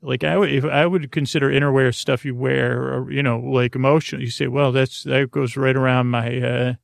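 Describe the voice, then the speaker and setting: Creaky-voiced man rambling through a bedtime podcast. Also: mid-range voice, no room sound, clean studio sound. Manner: meandering, halting, repetitive, full of tangents. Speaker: podcast host.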